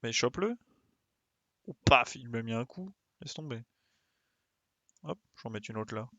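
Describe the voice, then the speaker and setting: A man's voice: medium pitch, lively intonation, normal speed, sharp tone, dry recording, lo-fi sound. A man giving casual live gameplay commentary, thinking aloud and muttering to himself with small playful sound effects. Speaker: game streamer.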